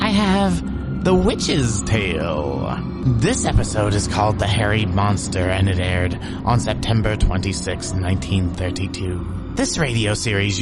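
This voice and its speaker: A man hosting a horror radio podcast with scripted, theatrical introductions. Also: lowish voice. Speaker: podcast host. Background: loud music.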